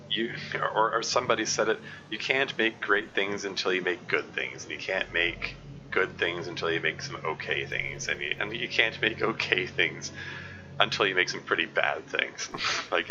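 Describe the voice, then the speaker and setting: A man with a deep bass voice held in its upper register, chatting casually over a game stream and rambling with many restarts and chuckles. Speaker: let's play streamer. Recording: muffled lo-fi mic with steady hiss.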